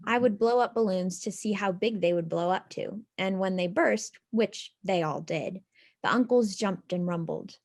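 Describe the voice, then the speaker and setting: A woman giving a dramatic, expressive reading of a nostalgic literary story with measured phrasing. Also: medium pitch. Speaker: reader in a readers theatre.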